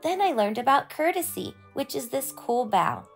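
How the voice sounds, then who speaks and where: A woman's voice: sits high, sing-song, moderate pace, gravelly, faint music behind, heavily acted. A woman voicing an eager, earnest young boy in a children's storybook read-aloud. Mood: excited, earnest. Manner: eager, proud, explaining earnestly. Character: excitable, earnest young boy learning martial arts.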